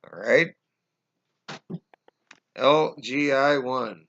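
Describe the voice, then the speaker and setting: A man in his forties talking to viewers from home on a webcam, halting with fillers, his voice going hoarse. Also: medium pitch, a bit bright. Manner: halting, many fillers and restarts, addresses viewers as guys. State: losing his voice, coughing. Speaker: conspiracy vlogger. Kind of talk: casual explanatory monologue. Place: small indoor room at home. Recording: dull lo-fi webcam audio.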